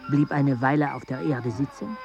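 An actor voicing a male companion who narrates past expedition events in a measured way, in a radio play. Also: mid-range voice, steady tone, vocal fry, highs cut off, over music, natural voice. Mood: calm.

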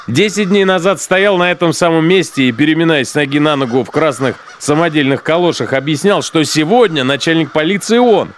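An actor playing a cold, fanatical, contemptuous Nazi SS commander in the interior monologue of a radio play. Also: male voice, fairly high pitch, slight background noise, close to an everyday voice. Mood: mocking. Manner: self-assured, declamatory, scornful. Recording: studio radio drama with music behind. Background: music.

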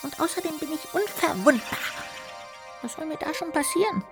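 A woman's voice: high-pitched, animated, moderate pace, over music. A woman playing a playful, naive little ghost in a children's radio play, cheeky and childlike. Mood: playful, defiant.

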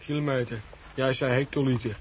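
An actor playing a cheeky youngster in a comic radio drama, delivering deadpan quips. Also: male voice, mid-range voice, a little fast, slightly rough, lightly acted. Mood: mocking. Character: cheeky, wisecracking youngster of the family. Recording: muffled old radio recording with hiss.

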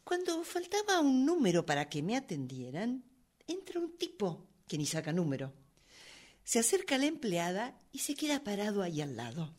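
A woman performs an indignant woman with a short fuse in a radio play. Her pitch swings widely at a middle register. She is agitated, insisting on excuses and repeating words in bursts. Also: huge pitch swings, moderate pace, a little mellow. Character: indignant, hot-tempered woman pleading excuses for her outbursts. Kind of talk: radio drama workshop acting.

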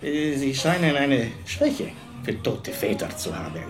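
An actor playing a stern, sardonic Italian police officer questioning someone in an audio drama. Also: male voice, medium pitch, sing-song, a little slow, soft background music. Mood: sardonic interrogation. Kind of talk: acted dialogue in an audio drama.

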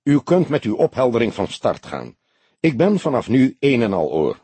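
A man's voice: mid-range voice, wildly swooping pitch, normal speed, dark tone, husky, dry recording, exaggerated voice. Man voicing a sardonic, probing old detective. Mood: stern. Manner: mocking, curt, unfriendly. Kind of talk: audiobook character dialogue.

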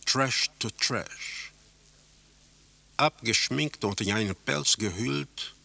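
A low, gravelly male narrator for a bleak, surreal radio play. He delivers each image slowly and deliberately, with a detached manner and a sharp edge. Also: no room sound, heavily acted. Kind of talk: scripted literary radio drama narration.

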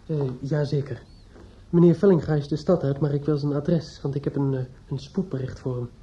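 An actor in a radio drama playing a despairing, obsessive judge's son who is outwardly polite and inwardly bitter. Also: male voice, on the high side, steady tone, dark tone, natural voice. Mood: hesitant, tense. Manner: halting and hesitant with others, brooding and repetitive when alone. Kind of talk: radio drama acting with interior monologue. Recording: dull lo-fi old radio play recording.

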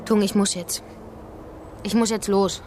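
A woman's voice: mid-range voice, steady tone, measured pace, natural voice. An actress in a radio drama playing a brusque, impatient woman with a firm, clipped manner. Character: brusque, impatient woman helper pushing migrants onward.

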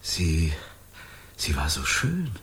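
An actor playing a frightened amnesiac with a head wound in a German radio crime drama, groggy, pained and halting. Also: male voice, rumbling low, huge pitch swings, very noisy, heavily acted. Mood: dazed, confused. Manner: halting, stammering, pleading. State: groggy, in pain.

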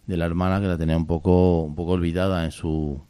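A man hosting a casual radio talk on poetry, explaining and reading poems in a steady way, often ending lines with a questioning tag. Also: low-pitched, fast talker, clean tone, no echo. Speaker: radio host. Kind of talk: casual radio chat about literature.

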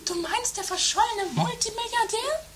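Very shrill, melodramatic scheming woman. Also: normal speed, a bit bright. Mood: dramatic complaint. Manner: melodramatic, exaggerated. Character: scheming, theatrical, shrill woman greedy for an inheritance. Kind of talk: improvised trash comedy radio play from the eighties. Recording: noisy lo-fi amateur radio play recording.

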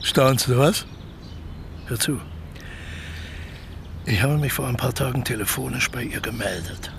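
An actor playing a smooth-talking, scheming crook in a radio play, persuasive and cocky as he explains his plans. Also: medium pitch, unhurried, background noise. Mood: sly, confident. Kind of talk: naturalistic German radio play dialogue.

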